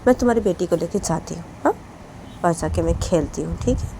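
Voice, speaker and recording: Scolding mom, loud, nagging, mellow tone, woman doing voice, faint hiss behind. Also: lowish voice, fairly quick, creaky. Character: scolding middle-aged mother.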